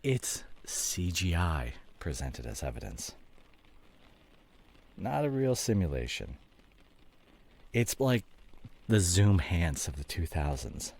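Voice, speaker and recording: A man acting a devoted, remorseful husband in an intimate ASMR roleplay drama, soft and halting, with a husky voice. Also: sits low, very animated, exaggerated voice. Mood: casual, teasing. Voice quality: husky, gravelly, often breathy. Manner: soft, halting, drops into whispers. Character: remorseful, devoted husband nursing his injured wife, teasing.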